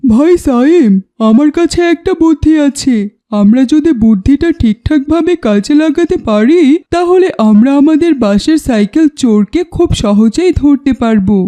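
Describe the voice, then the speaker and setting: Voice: high-pitched, lively intonation, normal speed, warm tone. A voice actor playing an earnest young village schoolboy in a cartoon, explaining things plainly. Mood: concerned. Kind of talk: cartoon voice acting.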